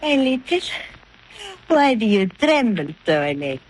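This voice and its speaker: A woman's voice: fairly high pitch, sing-song, measured pace, slight background noise, low-quality recording. An actress playing a young woman aboard a ship who reassures her companion in the style of 1930s radio drama. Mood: soothing.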